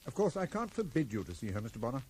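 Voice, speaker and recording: An actor playing a worried, protective middle-aged father in a 1980s radio drama, speaking formally and with deliberate hesitation. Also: medium pitch, very animated, a little mellow, natural voice. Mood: guarded. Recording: slightly noisy old radio broadcast recording.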